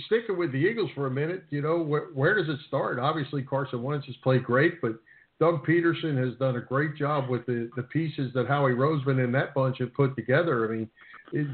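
A man's voice: mid-range voice, a little fast, narrow, tinny audio. A man hosting a sports radio show, introducing guests and asking questions conversationally.

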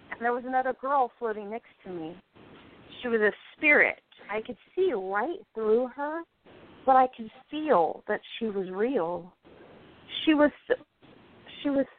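A woman acting a jealous, guarded and easily wounded young actress in an amateur radio play. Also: on the high side. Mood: hesitant, awed. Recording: thin, phone-like band-limited audio.

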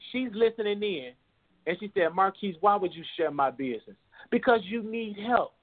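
A man's voice: high voice, expressive, moderate pace, no echo. A man in his twenties calling in to a talk show, speaking with passion in casual vernacular. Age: 20s. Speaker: caller. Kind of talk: emotional call-in testimony. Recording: tinny phone line.

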